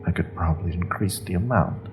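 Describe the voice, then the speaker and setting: A man playing a cruel rogue sorcerer in a fantasy audio drama, taunting a captive with mock politeness, often dropping toward a breathy whisper. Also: low-pitched, background noise, lightly acted. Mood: sadistic mock sympathy. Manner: slow, taunting, mock-polite, savoring threats with pauses. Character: cruel, menacing rogue sorcerer, male captor taunting his prisoner.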